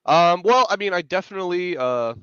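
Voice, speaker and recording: A man's voice: high-pitched, expressive, brisk, fairly bright tone, smooth, no echo, lo-fi sound. A man with a stuffy, congested voice from a cold, rambling in casual sports podcast chat with lots of fillers. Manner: rambling, filler-heavy, restarts often. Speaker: podcast co-host, fan analyst.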